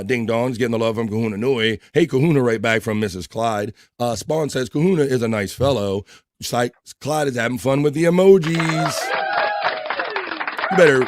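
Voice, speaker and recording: A hoarse man hosting a livestream, chatting casually and shouting out viewers' names in an upbeat way. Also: mid-range voice, slight background noise. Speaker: livestream host. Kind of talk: casual chat, reading chat messages.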